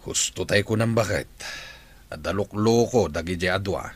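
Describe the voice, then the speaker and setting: A man's voice: low-pitched, moderate pace, husky. A man playing the concerned grown son of a farming family in an Ilocano radio drama. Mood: concerned, questioning.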